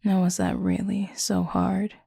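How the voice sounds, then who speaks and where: Woman voicing a husky, gravelly, flirty werewolf woman. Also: lowish voice, no echo, clean studio sound, full character voice. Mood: flirty, teasing. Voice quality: breathy, husky, fry-laden murmur. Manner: hushed, unhurried, teasing yet gentle. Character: flirty, caring female werewolf, confident and teasing. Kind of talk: intimate audio roleplay, close and slow.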